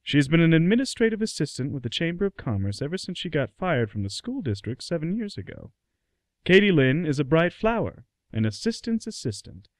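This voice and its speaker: A man narrates a radio play from a script. His medium-pitched voice moves around a great deal, and the sound is close and dry. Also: big pitch swings, fairly quick, no room sound.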